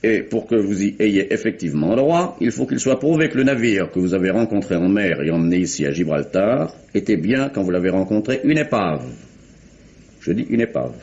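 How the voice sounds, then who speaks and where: Un acteur jouant un procureur général britannique des années 1870, pompeux et soupçonneux, à la diction formelle et précise, dans une dramatique radio policière française. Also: male voice, fairly low pitch, animated, fairly quick, darkish tone. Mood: stern. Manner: formal, pompous, precise diction. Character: pompous, suspicious male British attorney general of the 1870s. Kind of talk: French radio mystery drama acting. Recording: dull, muffled vintage radio recording.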